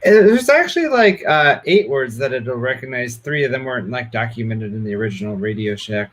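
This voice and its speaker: A man in a casual show-and-tell demo, talking in a hesitant, halting way as a guest. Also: mid-range voice, animated, a bit bright. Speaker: guest demonstrating a project.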